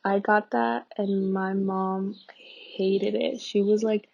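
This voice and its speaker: A young American woman speaks candidly and informally, as if thinking aloud. Her voice is husky with a lot of fry, and her pitch stays fairly flat. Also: takes it slowly, lo-fi sound. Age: young adult. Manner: informal, unfiltered. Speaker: anonymous testimony participant. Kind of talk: candid personal monologue.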